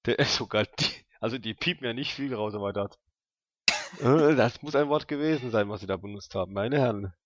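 A man's voice: mid-range voice, brisk, highs cut off. A man hosting and playing in a tabletop role-playing podcast, chatting casually with crude, jokey banter. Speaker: podcast host and player.

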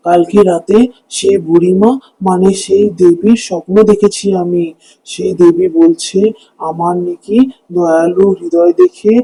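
A man plays a poor, kind-hearted village husband in a cartoon fairy tale. His voice is high, very dark and husky, with an earnest, wondering manner. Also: full character voice.

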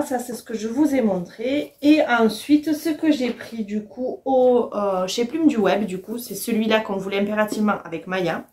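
A woman's voice: mid-range voice, darkish tone. A woman, chatting casually and enthusiastically to the camera as a book vlogger. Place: small furnished room at home, indoors.

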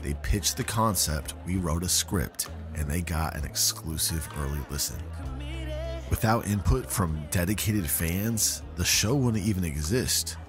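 Man playing a deep-voiced, earnest podcast creator. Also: measured pace. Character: earnest male podcast creator being grilled by detectives. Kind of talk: scripted audio drama dialogue. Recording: music under the voice.

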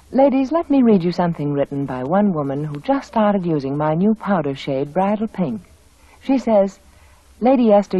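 A woman's voice: fairly low pitch, no room sound. A woman delivering a scripted 1940s radio advertisement as a beauty spokeswoman. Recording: dull vintage radio recording.

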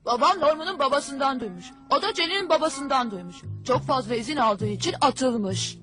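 A woman's voice: high-pitched, lively intonation, moderate pace, over music. A woman voicing a blunt, know-it-all child in a dubbed cartoon, speaking matter-of-factly.

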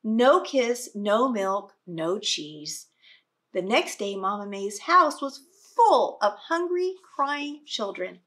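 A middle-aged woman reading a picture book aloud expressively and explaining it to young children as a teacher. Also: medium pitch. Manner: slow, expressive, explanatory, dramatic stresses.

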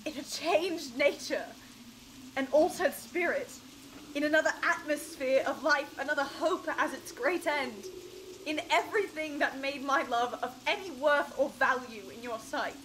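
A young woman playing a high-voiced, sorrowful Victorian sweetheart. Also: unhurried, a bit bright, slight background noise. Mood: sorrowful, firm. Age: teenage. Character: sorrowful, resolute young Victorian woman ending her engagement. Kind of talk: radio drama acting.

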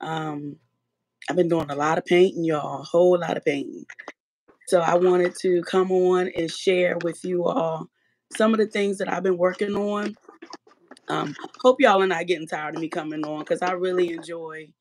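A woman guest on a livestream, her voice slightly raspy from allergies, relaxed as she talks about her painting. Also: lowish voice, normal speed, slight background noise. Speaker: guest artist. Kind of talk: casual livestream chat.

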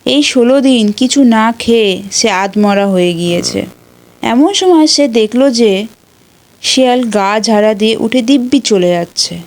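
Female narrator for children's tales, unhurried delivery, voice a little high. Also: animated, a little mellow. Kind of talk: scripted storytelling for children.

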